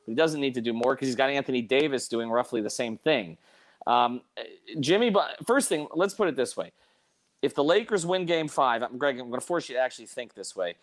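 A man's voice: mid-range voice, animated, a little fast. A man hosting a casual sports talk show, giving his opinions emphatically and reading sponsor plugs.

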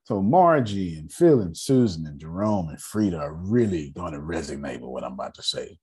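A man in his forties with a raspy voice, hosting a casual group meeting and lecturing in a loose, talkative way. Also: low voice, very animated, fairly quick, a little mellow. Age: 40s. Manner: loose, talkative, laughing asides. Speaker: meeting host.

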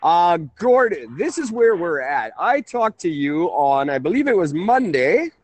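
A man's voice: sits high, very animated, measured pace, no room sound. A man in his thirties hosting a casual call-in radio talk show, rambling and correcting himself. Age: 30s. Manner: rambling, chuckling, self-correcting.

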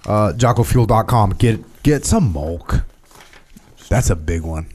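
Low, mellow podcast host. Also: male voice, lively intonation. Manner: deliberate, emphatic, repeats his points. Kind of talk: casual chat with sponsor reads.

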